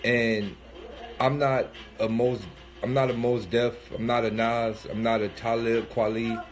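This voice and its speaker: A man's voice: mid-range voice, level tone, unhurried. Sniffly man in his thirties, rambling. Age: 30s. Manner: loose and rambling, thinking aloud with many fillers and restarts. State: sniffly with a cold. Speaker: rapper streaming live. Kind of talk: casual livestream monologue.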